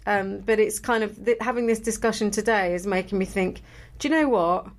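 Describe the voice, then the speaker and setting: Slightly croaky woman co-hosting. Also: mid-range voice, fairly quick. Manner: chatty, reflective, laughs easily. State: slightly croaky after illness. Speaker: podcast co-host. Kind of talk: casual radio chat.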